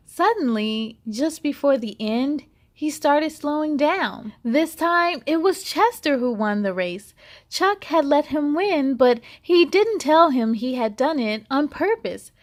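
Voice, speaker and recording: High-pitched, slow-paced woman reading to children. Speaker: children's story-time host. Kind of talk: animated children's read-aloud narration.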